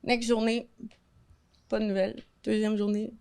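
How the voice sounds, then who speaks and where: A woman's voice: medium pitch, normal speed, gravelly, no echo, clean studio sound. A woman in her thirties with a Québécois French accent, a podcast guest talking candidly and expressively in a casual chat. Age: 30s. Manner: candid, expressive, colloquial storytelling.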